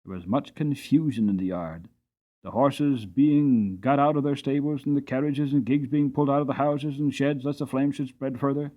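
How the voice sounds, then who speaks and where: Male audiobook narrator, expressive dramatic reading, middle voice, dull recording. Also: medium pitch, normal speed.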